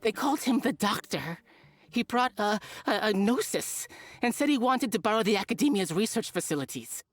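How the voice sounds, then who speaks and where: A woman's voice: mid-range voice, expressive, moderate pace, no echo, lightly acted. Earnest female scholar for a fantasy game, troubled, expository delivery acted by a woman. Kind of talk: video game voice acting.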